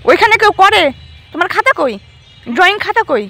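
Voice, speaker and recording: A woman's voice: extremely high pitch, lively intonation, brisk, bright, forceful tone. A young woman chatting casually with small children and viewers, coaxing with simple, repeated instructions. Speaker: mother filming a family vlog of young children. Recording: clear background noise.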